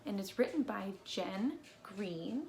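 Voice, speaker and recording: Female storybook reader, expressive and deliberate, unhurried delivery, echoey recording with faint background noise. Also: mid-range voice, vocal fry. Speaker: read-aloud presenter. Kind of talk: expressive children's storybook read-aloud.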